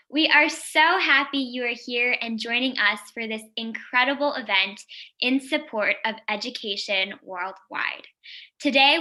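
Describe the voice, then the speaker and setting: A woman's voice: on the high side, bright, forceful tone, poor audio. A young woman hosting a live stream fundraiser, upbeat and welcoming, speaking straight to the viewers. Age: young adult. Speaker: host, founder of youth nonprofit.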